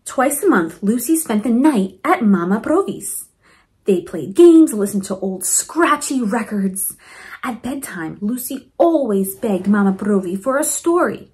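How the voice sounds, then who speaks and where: A woman reading a storybook aloud to children in an expressive, encouraging way, with teaching asides. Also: on the high side, unhurried. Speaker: principal reading a picture book to children.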